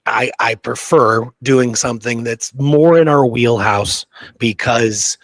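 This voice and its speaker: A man with a medium-pitched voice chats casually as a podcast co-host. His delivery is conversational and enthusiastic, wandering from one thought to the next. The recording is dry, with very little room sound. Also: slow pace, a bit bright, dry recording. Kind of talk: casual chat.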